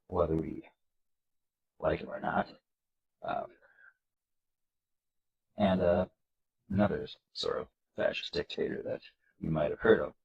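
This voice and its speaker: Deep-voiced man with a stuffy cold. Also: creaky, poor audio. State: congested, nasal from a cold. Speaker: political video host. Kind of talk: casual commentary reading slides aloud.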